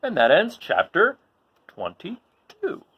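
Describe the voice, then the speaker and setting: A man reading a children's story aloud in an expressive style, as the host of a read-along. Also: high-pitched, slow, slightly rough, muffled recording.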